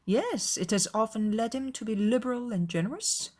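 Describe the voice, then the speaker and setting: A woman voicing an aggrieved young gentleman in a dramatized audiobook, confiding and self-justifying, with measured, earnest, formal delivery. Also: on the high side, animated, pitched above the performer's own voice. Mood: measured, explaining.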